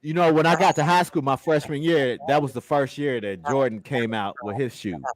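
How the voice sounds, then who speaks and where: Male, 50s, podcast host, relaxed chat, keeps things moving. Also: mid-range voice, normal speed, no room sound. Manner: easygoing, steers the talk. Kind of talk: casual sports chat.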